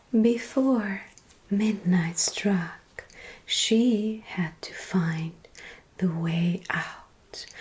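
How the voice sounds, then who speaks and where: A woman voicing a teasing female vampire who tells scary stories in a soft, whispered ASMR roleplay. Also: mid-range voice.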